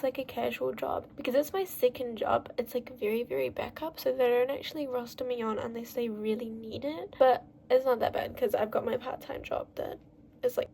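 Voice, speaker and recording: A young woman vlogging in a casual, rambling way, full of fillers, with a naturally loud voice. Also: sits high, vocal fry, slight background noise. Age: young adult. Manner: bubbly, rambling, lots of "like". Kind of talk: casual chatty monologue.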